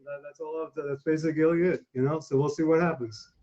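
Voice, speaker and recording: A man's voice: fairly high pitch, steady tone, fast talker, dark tone. A man with a New York accent chatting casually as a guest on a live stream show. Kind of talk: casual chat. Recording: dull lo-fi streaming audio.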